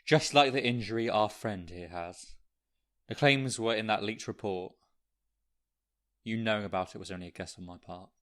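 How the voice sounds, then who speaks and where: An actor playing a callous, mocking, distrustful survivor in a naturalistic audio drama. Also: male voice, on the low side, normal speed, lightly acted. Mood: hard, dismissive.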